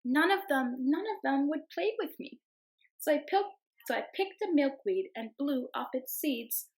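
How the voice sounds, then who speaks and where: Young woman, teacher, children's read-aloud, gentle storytelling, sing-song calls, fairly high voice. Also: expressive, unhurried. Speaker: teacher reading aloud to her class.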